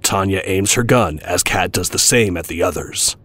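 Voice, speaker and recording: Deep husky male narrator. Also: moderate pace, fairly bright tone. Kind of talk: scripted audio drama narration.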